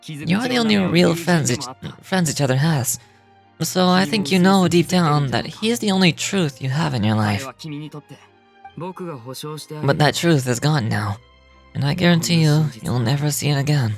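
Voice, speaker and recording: A man voicing a theatrical young male stage magician from a game, knowing and cutting, with deliberate, pointed delivery. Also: a little high, lively intonation, gravelly, music in the background. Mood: accusing, solemn.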